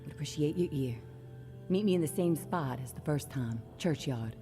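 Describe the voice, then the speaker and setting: An actress playing a businesslike, secretive resistance organizer in a video game, delivering a message in a direct, purposeful way. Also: female voice, low-pitched, lively intonation, moderate pace, warm tone, soft background music. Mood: businesslike, grateful. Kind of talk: dramatic video game acting, spoken message.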